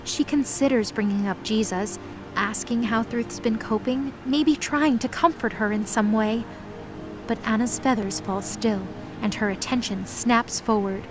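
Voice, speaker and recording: A woman's voice: on the high side, a little slow, over music, poor audio. A woman narrating a scripted audio drama in the present tense as its storyteller.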